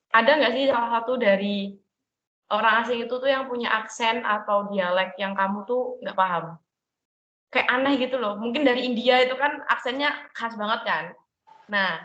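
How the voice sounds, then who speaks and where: A woman's voice: medium pitch, fairly bright tone. A young woman interviewing someone with chatty, curious questions. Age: young adult. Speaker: interviewer, student. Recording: dull, echoey room sound.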